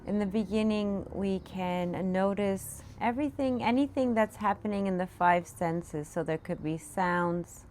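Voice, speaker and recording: A woman meditation teacher leading a guided meditation, giving gentle, unscripted instructions with pauses. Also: medium pitch. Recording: clear background noise, room ambience. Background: room ambience, children in the background.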